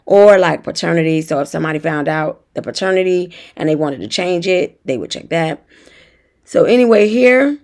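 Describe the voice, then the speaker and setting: Young woman host, sick and raspy, low and rough; casual, talks straight to camera. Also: a little mellow. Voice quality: raspy, deeper than usual. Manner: chatty, talks straight to viewers. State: hoarse from feeling unwell. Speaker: notary tutorial host. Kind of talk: casual tutorial walkthrough.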